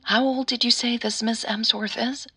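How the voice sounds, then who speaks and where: Woman acting a calm, breathy, bright-voiced mother. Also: on the high side, normal speed, voice pushed up. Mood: advising. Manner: gentle, soothing. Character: calm, patient, doting older mother advising her daughter.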